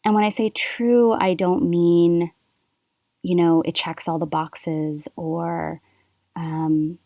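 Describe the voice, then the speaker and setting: A woman from Canada hosting her own podcast, speaking softly and thoughtfully in a reflective solo monologue with unhurried pauses. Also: fairly low pitch, little pitch movement, creaky, dry recording, clean studio sound. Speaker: podcast host.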